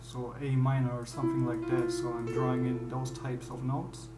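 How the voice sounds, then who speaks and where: German guy teaching beatmaking in english, kinda flat voice, searches for words, echoey room with piano going behind. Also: mid-range voice. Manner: halting, searching for words, explaining step by step. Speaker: music producer. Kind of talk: screen-recorded beatmaking tutorial. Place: dim small room at home. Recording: roomy with piano playing behind.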